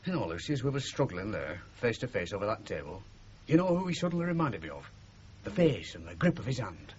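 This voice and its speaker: An actor playing a sentimental, wounded middle-aged working-class father with a Northern English accent in a radio drama, speaking haltingly and emotionally. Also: on the low side, very animated. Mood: wistful, troubled. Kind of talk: 1970s radio drama acting. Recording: muffled, noisy 1970s radio broadcast.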